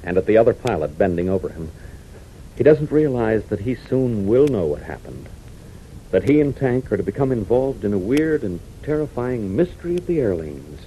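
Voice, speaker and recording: American male announcer, dramatic 1940s kids' radio serial delivery, very lively intonation, dull old recording. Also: on the low side, normal speed, a little hiss or hum. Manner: excitable, dramatic, addressing young listeners. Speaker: announcer and narrator.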